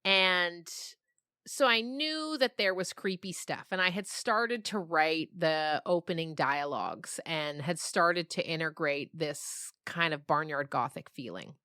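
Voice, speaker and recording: A woman's voice: sits low, animated, a little slow, pushed and bright, clean tone, dry recording, clean studio sound. A woman novelist being interviewed, telling stories conversationally in an emphatic way and often checking in with the listener. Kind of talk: animated conversational storytelling.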